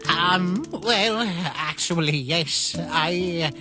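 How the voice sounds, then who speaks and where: A woman's voice: on the low side, extremely slow. A woman voicing a polite, innocent young male skeleton in a comic dub, earnest and a little formal. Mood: hesitant, troubled. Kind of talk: comic dub character acting. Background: music.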